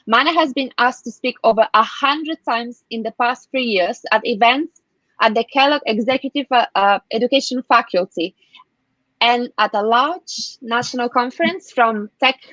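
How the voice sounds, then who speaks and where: A woman's voice: fairly high pitch, normal speed, a bit bright, no echo. A woman hosting a live online interview, effusive in her wording and a little hesitant in phrasing. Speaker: host, interviewer. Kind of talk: live online interview, scripted introduction and questions. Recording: dull, lo-fi, dry sound.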